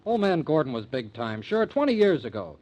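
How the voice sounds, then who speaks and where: An actor playing a protective, excitable booking agent boyfriend, slangy and emphatic, with an American accent in old-time radio drama style. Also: male voice, a little high, fairly quick, low-quality recording. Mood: protective, agitated.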